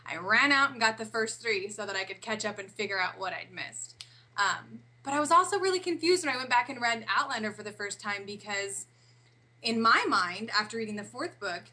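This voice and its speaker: Woman, forties, interview guest, bright tone, a little high, animated storytelling. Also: a little fast. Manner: animated storyteller, laughs through her own anecdotes. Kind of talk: casual interview chat.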